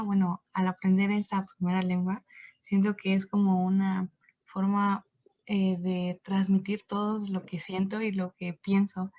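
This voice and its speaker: A woman's voice: medium pitch, mellow, no echo. A teenage girl answering in an online class, thoughtful and earnest, on a video call mic. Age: teens. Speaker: student. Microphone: computer mic on a video call.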